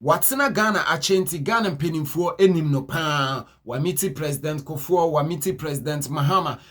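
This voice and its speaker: Slightly high male news narrator. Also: brisk, a bit bright.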